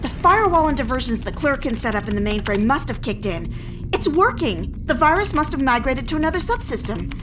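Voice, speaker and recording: An actress playing a capable, plainspoken engineering deputy in a sci-fi audio drama, delivering technical lines matter-of-factly. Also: female voice, high voice, sing-song, fairly quick, music in the background. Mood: urgent.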